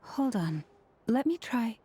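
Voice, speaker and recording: A woman playing a poised, caring young healer in a video game, speaking in a measured, articulate, formal way. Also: fairly high pitch, sing-song, mellow, a little hiss or hum. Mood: gentle relief.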